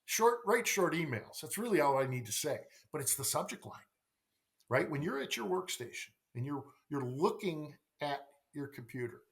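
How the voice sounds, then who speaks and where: Animated man with a higher-pitched voice. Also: normal speed. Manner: emphatic, repeats phrases, rhetorical questions and tag "right?". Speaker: sales coach, podcast guest. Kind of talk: conversational podcast interview.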